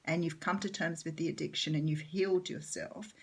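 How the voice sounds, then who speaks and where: Low-voiced croaky Australian woman. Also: steady tone, a little fast. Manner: gentle, reflective, frequent ums. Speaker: tarot reader. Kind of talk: solo tarot reading, explaining cards.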